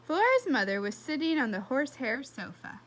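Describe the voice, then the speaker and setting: A woman reads a children's story aloud. Her voice sits a little high, and her storytelling is expressive, with very lively rises and falls. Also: moderate pace. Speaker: reader.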